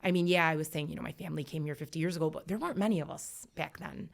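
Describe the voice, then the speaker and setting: Low gravelly-voiced woman educator. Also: rapid-fire. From United States. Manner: reflective, emphatic, many fillers. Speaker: educator guest. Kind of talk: earnest podcast conversation.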